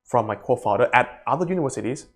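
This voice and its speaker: A young Thai man speaks in a medium-pitched voice with a Thai accent. He is a startup founder and native Thai speaker answering interview questions casually, with simple non-native phrasing. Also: lively intonation, quick pace. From Thailand.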